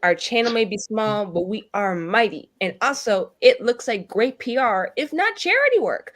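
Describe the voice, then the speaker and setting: A woman's voice: a little high, animated, normal speed, a bit bright. A woman talking casually and playfully as a player on a tabletop roleplay show, with a theatrical, wordy, mock-pleading manner. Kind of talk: casual, playful group chat.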